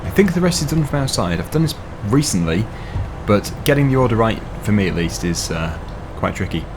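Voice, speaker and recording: A young man, slightly hoarse, chatting casually through a game while thinking aloud with fillers. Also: fairly low pitch, very animated, a little fast, noisy background. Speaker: gaming channel host. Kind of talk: casual gameplay commentary.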